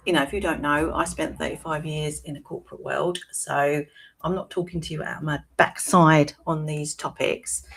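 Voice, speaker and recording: A woman, hosting a live-streamed talk show. Her voice is hoarse and croaky, and she talks in a chatty way, often checking in with "right?". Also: fairly low pitch, a bit bright. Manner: chatty, tags points with "right?". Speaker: wellbeing coach and show host.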